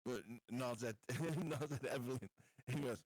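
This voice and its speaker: A man playing a tabletop game, improvising his character's thoughts in a halting, blunt, casual way. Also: medium pitch, a little mellow, no echo.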